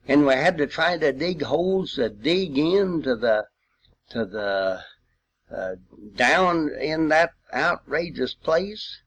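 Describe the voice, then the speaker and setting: An older man from Missouri with a rural Missouri accent, reminiscing as a war veteran in a folksy, halting, rambling way. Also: fairly high pitch, lively intonation, a bit bright. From Missouri, United States. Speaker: World War II veteran storyteller.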